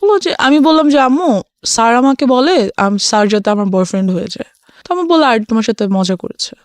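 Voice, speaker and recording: A woman's voice: on the high side, animated, quick pace, no room sound, clean studio sound. A woman with a Bangladeshi accent telling her own life story as an interview guest, slipping English phrases in. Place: radio studio.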